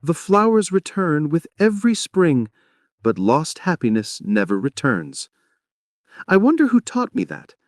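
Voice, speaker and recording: A man's voice: a little high, very animated, a little slow, dry recording, clean studio sound, voice pushed up. A man voicing a sad, lonely, pleading wife in a theatrical, plaintive reading of a stage play. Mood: wistful, bitter.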